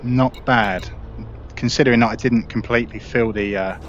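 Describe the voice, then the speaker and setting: A man casually explaining a game as he plays, relaxed and easygoing. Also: mid-range voice, normal speed, dull audio, faint music behind. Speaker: gaming video creator. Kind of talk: casual gameplay commentary. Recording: loud background noise.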